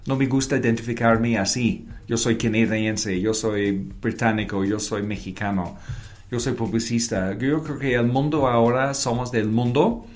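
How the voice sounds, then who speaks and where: A man's voice: medium pitch, fairly even intonation, moderate pace. British-accented man, non-native Spanish, reflective guest, mid-range voice. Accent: British English. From Wales, Britain. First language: English. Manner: non-native Spanish, short declarative sentences, slips into English words. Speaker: guest, advertising creative and professor. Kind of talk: reflective interview conversation.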